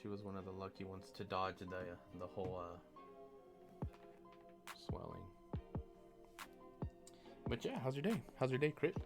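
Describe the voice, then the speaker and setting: A man with a lowish voice hosts a relaxed stream from his bedroom. His pitch swings widely as he jokes, teases and laughs with his friends. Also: unhurried, long pauses, over music. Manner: playful, joking, laughs often. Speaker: stream host. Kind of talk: casual chat.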